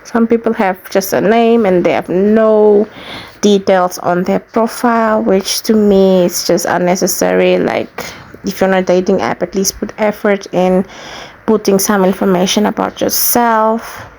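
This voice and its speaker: Namibian woman with a creaky voice. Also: medium pitch, steady tone, unhurried, a little mellow, poor audio. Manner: plain-spoken, explanatory, step by step. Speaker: YouTuber giving a how-to tutorial. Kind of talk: informal screen walkthrough, casual instruction.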